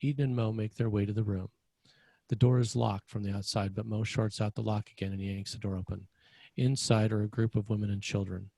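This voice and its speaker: A man narrating scene directions for a screenplay table read, with a slightly husky voice. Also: lowish voice, level tone, a little fast, dark tone, dry recording. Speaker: narrator reading stage directions.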